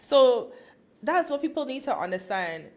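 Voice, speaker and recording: A woman from Barbados with a Barbadian accent, co-hosting a podcast and telling a story with lively laughter. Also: high voice, normal speed. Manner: animated storytelling, laughs often. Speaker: podcast co-host. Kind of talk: casual chat.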